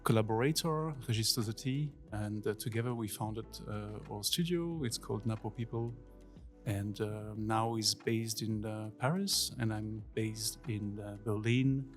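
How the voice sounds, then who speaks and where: A Swiss man in his forties, a visiting design teacher, answers interview questions casually with many pauses and fillers. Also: lowish voice, soft background music. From Switzerland. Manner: hesitant, many fillers, non-native phrasing. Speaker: guest workshop teacher.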